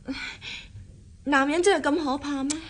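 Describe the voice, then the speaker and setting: Actress as high-voiced, sweet young newlywed. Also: female voice, steady tone, moderate pace, close to an everyday voice. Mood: innocent, earnest. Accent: Hong Kong Cantonese. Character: sweet, trusting 21-year-old newlywed wife. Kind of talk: Cantonese radio drama acting.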